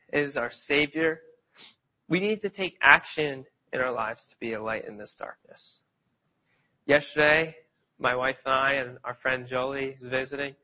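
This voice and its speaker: A man with a cold, sniffling a little, giving an informal, conversational sermon and wandering into loose stories with restarts. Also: a little high. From Pennsylvania, near Philadelphia. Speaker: lay preacher. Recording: dull lo-fi sound.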